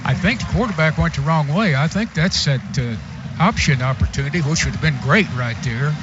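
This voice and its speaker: A man's voice: on the high side, lively intonation, brisk, muffled recording, music in the background. An American man giving conversational color commentary on a live football radio broadcast. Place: stadium radio booth.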